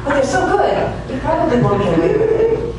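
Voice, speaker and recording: A woman delivering scripted advertising pitches as a radio theater announcer. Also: sing-song, a little fast, crowd noise. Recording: echoey distant recording, loud music behind.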